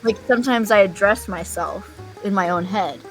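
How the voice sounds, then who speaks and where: Soft-spoken young woman streamer, candid. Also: medium pitch, normal speed, music in the background, low-quality recording. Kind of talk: casual chat on a live stream.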